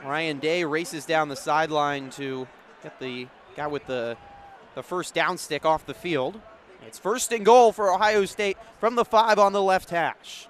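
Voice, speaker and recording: A man doing live radio play-by-play, moving between measured setup and urgent calls. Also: on the high side. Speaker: play-by-play sports announcer. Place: outdoor stadium broadcast position. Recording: background noise of an outdoor stadium.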